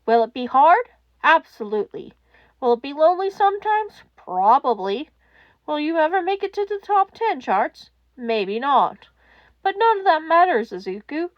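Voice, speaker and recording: A warm, sincere radio man, acted by a woman in an audiobook. He sounds gentle and reassuring, with very animated intonation. The recording is close and dry, a touch dull. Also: sits high, normal speed, no echo, pitched above the performer's own voice. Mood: honest, encouraging. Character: warm, sincere adult male radio host consoling a caller. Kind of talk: audiobook character acting.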